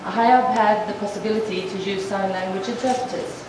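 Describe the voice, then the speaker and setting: A woman voicing a formal speech to an assembly as a sign language interpreter, measured and halting, with frequent restarts. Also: mid-range voice, steady tone. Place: large assembly hall. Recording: echoey hall from far off, noisy.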